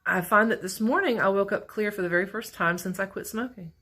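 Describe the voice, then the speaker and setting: A middle-aged woman with a raspy voice, talking candidly and a bit ramblingly in a casual personal vlog from her office at work. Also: mid-range voice, brisk, pushed and bright. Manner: candid, rambling, self-deprecating. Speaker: vlogger.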